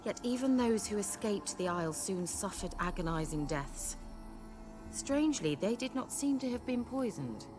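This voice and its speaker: An actress playing a vain, sharp-tongued sorceress in a video game, speaking in a haughty, wry, coaxing manner. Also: female voice, medium pitch, expressive, normal speed, natural voice. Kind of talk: video game cutscene acting. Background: game soundtrack music.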